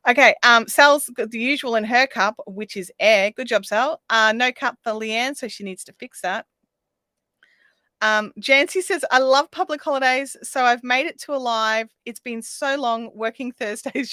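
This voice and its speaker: Aussie woman chatting on a craft stream, a bit raspy, bright voice, dry close mic. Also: on the high side, a little slow, no room sound. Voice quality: slightly raspy today. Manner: chatty, reads viewer comments aloud, counts stitches under her breath. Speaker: craft livestream host. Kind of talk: casual chat while crocheting. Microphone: desk microphone.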